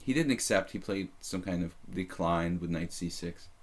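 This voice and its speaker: A man's voice: low voice, moderate pace. A man in his thirties casually commenting on his own chess games on a live stream, rambling and self-deprecating, with a slight leftover cough. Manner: rambling, self-deprecating, many fillers and restarts.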